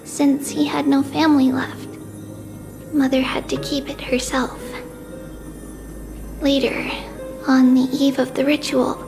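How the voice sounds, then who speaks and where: A small, childlike priestess girl from a video game, speaks in a high voice with a creaky edge. She is gentle, wistful and slow, recounting things softly and with measured care over clear background music. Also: flat delivery, full character voice. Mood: tender, sorrowful.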